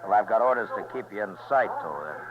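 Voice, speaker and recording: An actor playing a stern, weary frontier marshal in a 1950s radio western, terse and firm. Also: male voice, fairly low pitch, lively intonation, fast, natural voice. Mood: firm, threatening. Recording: muffled 1950s radio recording.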